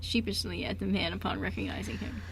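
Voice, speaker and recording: A woman's voice: mid-range voice, steady tone, fast talker, vocal fry, breathy edge, poor audio. A woman narrating an amateur radio play from a script, stumbling now and then as she reads aloud. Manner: stumbles while reading aloud. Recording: background room noise.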